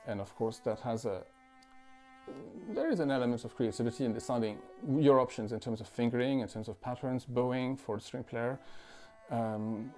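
A man in his forties from France, a musician talking thoughtfully at home, with many pauses, fillers and restarts. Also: medium pitch, dark tone, faint music behind. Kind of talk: reflective interview talk. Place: living room at home.

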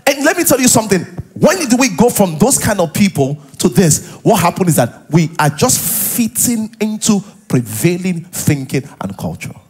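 Animated, husky Nigerian male preacher. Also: high-pitched, moderate pace, hardly any pauses. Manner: animated, conversational preaching with interjections and repeated rhetorical questions. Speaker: pastor. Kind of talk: sermon to a live congregation. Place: large church auditorium, on stage. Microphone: headset.

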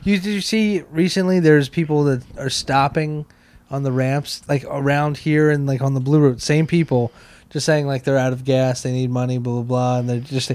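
A man's voice: medium pitch, steady tone, moderate pace, darkish tone. A man in his thirties bantering casually as a podcast co-host, teasing and interjecting, speaking into a close handheld mic. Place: small indoor podcast room on couches. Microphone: handheld microphone held close.

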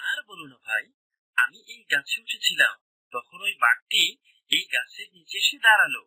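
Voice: medium pitch, sing-song, normal speed, bright, forceful tone, slightly rough, no room sound, full character voice, rough recording. A performer voicing a grateful, friendly village man in a children's cartoon, earnest and humble. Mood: relieved, grateful.

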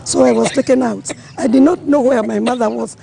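An older woman, hoarse from crying, recounting a night fire in a halting street interview outdoors. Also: on the high side, fairly even intonation, warm tone, a little hiss or hum. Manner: emotional, halting retelling. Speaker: fire victim. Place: outdoors in a crowd.

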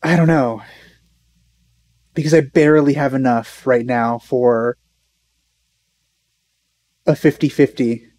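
A man's voice: mid-range voice, fairly even intonation, slow pace, pauses often, a little mellow, no echo. A young man giving casual reaction commentary in a laid-back, musing way. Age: young adult. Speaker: reaction video host.

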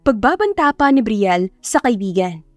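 A woman's voice: on the high side, big pitch swings, normal speed, darkish tone, no echo. A woman narrating a scripted romance audiobook in a dramatic storytelling style. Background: faint music.

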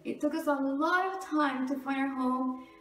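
Female home vlogger, middle-aged, high-pitched and chatty, recorded from a distance in a strongly reverberant hallway. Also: moderate pace, soft background music. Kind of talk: casual home tour talk to camera. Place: large two-storey house hallway and stair landing. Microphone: camera mic a few metres away. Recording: echoey hallway, distant camera microphone.

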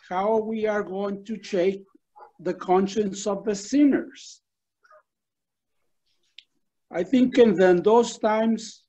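An older man on a video call from a home study, slowly and deliberately recalling a story. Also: high voice, dark tone, dull audio. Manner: slow, deliberate recollection. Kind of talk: group discussion comment.

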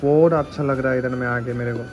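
A young man talking to his phone camera in a casual vlog at someone's home, chatty and a little hoarse from a cold. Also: mid-range voice, fairly even intonation, fast. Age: young adult. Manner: chatty, many fillers. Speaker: travel vlogger. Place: indoors at a home. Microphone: phone camera held at arm's length. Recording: music playing over the talk.

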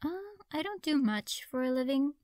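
A woman's voice: high-pitched, expressive, normal speed, darkish tone, dry recording, clean studio sound. A woman playing a friendly, awkward young witch in a conversational audio roleplay, hesitant and apologetic. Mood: friendly, chatty.

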